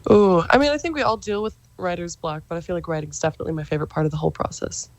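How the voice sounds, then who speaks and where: A young woman chats with radio hosts about her music, answering in a friendly, upbeat way. Her voice sits at a typical pitch, and it sounds close and dry, with no room echo around her. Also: big pitch swings, very fast. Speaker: musician guest. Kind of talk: radio interview.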